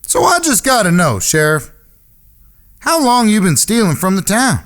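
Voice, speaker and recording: An actor playing a wary, bold Old West outlaw with a folksy frontier drawl in an audio drama. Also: male voice, high-pitched, very animated, normal speed, fairly bright tone. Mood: bitter, probing. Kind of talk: western audio drama acting.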